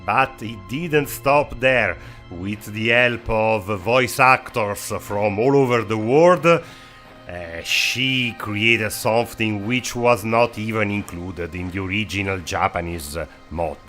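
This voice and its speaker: Male game-show host: lowish, forceful voice, emphatic stop-start phrasing, informal. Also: slow, faint music behind. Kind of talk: informal video review narration.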